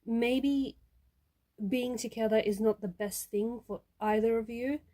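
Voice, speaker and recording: Mellow-voiced woman giving a tarot reading. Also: fairly high pitch, steady tone, a little slow. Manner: repetitive, rephrasing each point for emphasis. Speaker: tarot reader. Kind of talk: intuitive tarot reading addressed to viewer.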